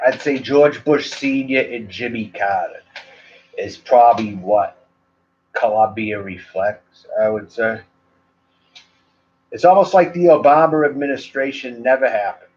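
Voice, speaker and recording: Rambling man with a Maine accent. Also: mid-range voice. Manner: meandering, loose, full of "like" and "you know". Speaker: cigar reviewer and livestream host. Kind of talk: rambling casual livestream chat. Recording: dull lo-fi livestream audio.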